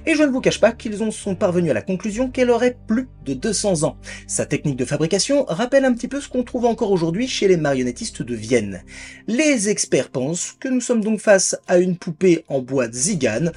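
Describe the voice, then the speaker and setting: A man joins as a guest to explain expert findings, slipping in wry jokes. His voice is husky and swings widely in pitch, with faint music underneath. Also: big pitch swings, brisk, darkish tone. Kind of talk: explanatory narration.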